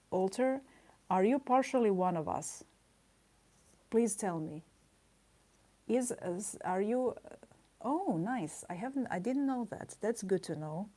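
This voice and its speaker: A woman's voice: medium pitch, mellow. A woman livestreaming while she draws, chatting casually with her viewers. She rambles in playful asides, laughs often and teases her chat with mock indignation. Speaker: livestreaming artist.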